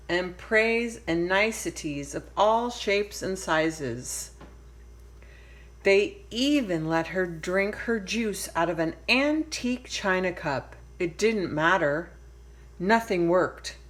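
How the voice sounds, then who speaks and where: A woman narrates a children's storybook aloud. Her voice sits slightly low, and she takes her time, reading with deliberate expression. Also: expressive, a bit bright. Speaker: storybook reader.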